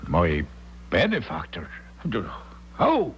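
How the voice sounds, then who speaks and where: An actor playing a desperate, defiant lord and storyteller in a 1970s radio drama, theatrical and emotive. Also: male voice, low-pitched, wildly swooping pitch, slow pace, slight background noise, full character voice. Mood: troubled. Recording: muffled old AM radio broadcast.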